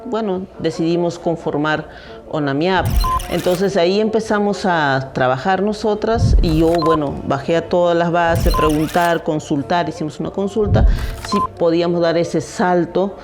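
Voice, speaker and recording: A woman's voice: fairly low pitch, moderate pace, over music. Woman leader recalling events. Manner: recounting events with hesitant restarts. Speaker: organization leader. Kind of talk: documentary interview.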